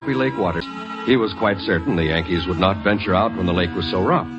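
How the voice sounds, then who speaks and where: A man's voice: low-pitched, a little fast, dull audio, music in the background, lightly acted. A man delivering scripted narration for an old-time radio historical drama.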